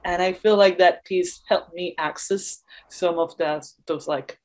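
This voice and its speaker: A woman's voice: fairly low pitch, fairly even intonation, a little slow, a little mellow. Thoughtful woman explaining as an interview guest. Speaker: interview guest, dance choreographer. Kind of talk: reflective conversational explanation.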